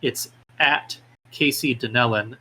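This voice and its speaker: A man speaking casually as a podcast guest, with halting, hesitant phrasing. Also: mid-range voice, bright, forceful tone, low-quality recording. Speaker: podcast guest, independent game developer. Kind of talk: casual interview chat. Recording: clear background noise, room ambience.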